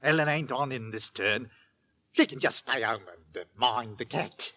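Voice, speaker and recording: An actor playing an inquisitive, folksy middle-aged London working man in a 1940s radio drama, talking with halting, stammering eagerness. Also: medium pitch, pushed and bright. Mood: eager. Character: excitable, inquisitive working-class London father, folksy and henpecked. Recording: vintage 1940s radio recording.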